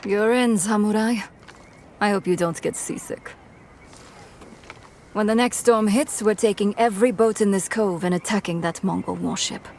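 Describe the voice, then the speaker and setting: An actress playing a tough, scornful raider chief in a game cutscene, curt, mocking and authoritative. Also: female voice, medium pitch, normal speed, darkish tone, slight background noise, close to an everyday voice. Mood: mocking, commanding. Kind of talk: video game cutscene acting.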